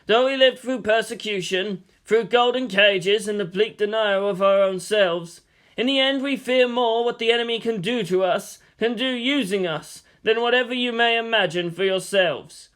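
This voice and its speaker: A woman reading the part of an old male monk, solemn and measured. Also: mid-range voice, voice pushed up, lightly acted. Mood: grave.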